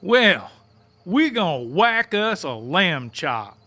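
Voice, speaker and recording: A high, bright male voice, slow-paced, for a cocky country sidekick in an audiobook drama. He is folksy, crude and blustering. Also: very animated, exaggerated voice.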